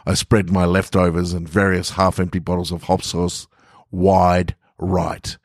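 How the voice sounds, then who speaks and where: Low voiced aussie guy reading stories on a podcast, bit of a head cold, close n dry. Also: completely flat delivery, unhurried, no echo. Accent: Australian. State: getting over a head cold. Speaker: podcast host and story reader. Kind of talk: scripted story narration with casual introduction.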